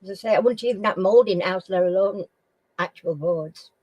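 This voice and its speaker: A middle-aged woman from Sheffield with a Sheffield accent, hosting a casual live chat with viewers in a slow, homely way and often clearing her throat. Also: medium pitch, a little mellow, no echo, highs cut off. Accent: Sheffield English. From Sheffield, England. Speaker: live stream host.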